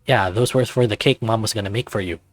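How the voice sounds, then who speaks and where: A man's voice: on the low side, fast talker, dry recording, soft background music, natural voice. A man voicing a goofy, laid-back teenage male furry best friend with casual, hesitant slacker phrasing in a visual novel. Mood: sheepish.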